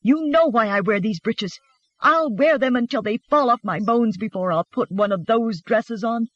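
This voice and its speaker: A narrator voicing a fiery, sharp-tongued young wife in audiobook dialogue, wounded and defiant, spitting out taunts. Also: medium pitch, moderate pace, dry recording, lo-fi sound, pitched above the performer's own voice, close to an everyday voice. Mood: indignant. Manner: spitting, taunting, shouting back.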